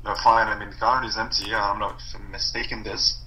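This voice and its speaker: A man's voice: on the low side, flat delivery, quick pace, a loud crowd. A man giving casual replies as a team member on a conference call, over a phone-like connection.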